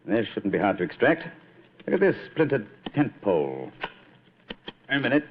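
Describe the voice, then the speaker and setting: An actor playing a shrewd, commanding English detective in a radio drama, with a British accent and a theatrical, authoritative manner. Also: male voice, on the low side, big pitch swings, moderate pace, a little hiss or hum. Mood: brisk, investigative. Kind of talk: old-time radio drama acting. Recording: tinny, band-limited vintage radio recording.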